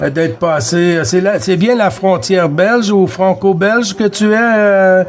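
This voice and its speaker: Higher-voiced Quebec man on CB radio. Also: fairly even intonation, takes it slowly. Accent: Quebec French. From Quebec, Canada. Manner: friendly, repeats himself, tags phrases with "hein". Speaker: CB radio operator. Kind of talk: casual two-way radio chat.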